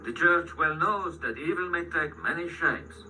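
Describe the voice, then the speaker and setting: A man's voice: on the high side, normal speed, noisy background, lightly acted. A male actor playing a grave, questioning priest with a solemn delivery in a radio drama. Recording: distant radio playback with music behind.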